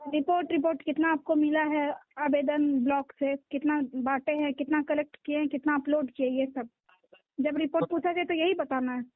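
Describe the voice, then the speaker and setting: A woman's voice: sits high, steady tone, fairly quick. A woman official with a Bihari accent, insistent and directive, speaking on a recorded phone call. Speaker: block-level government official. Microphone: phone line.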